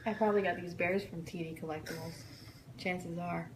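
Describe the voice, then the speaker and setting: A chatty, enthusiastic woman co-hosting a casual livestream radio show, reading out requests and shout-outs. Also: lowish voice, steady tone, brisk. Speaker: co-host of an online fan radio show. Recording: roomy echo, clear background noise.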